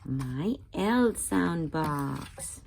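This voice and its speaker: A woman reading a storybook aloud for young children, expressive and inviting, often putting questions to her listeners. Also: deep voice, very slow, vocal fry, slight background noise. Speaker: children's read-aloud channel host.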